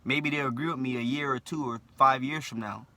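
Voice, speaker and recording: A man's voice: mid-range voice, fairly even intonation, brisk, sharp tone. A young man with a lisp, delivering a blunt, opinionated monologue to camera and tagging "right?" onto nearly every point. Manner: blunt, repetitive, asks "right?" after nearly every line. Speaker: vlogger.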